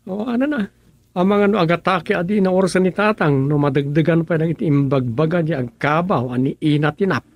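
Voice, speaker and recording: A man's voice: fairly high pitch, brisk. An actor playing an earnest, put-upon younger village man in a rural comedy radio drama, plaintive and conversational. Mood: resigned.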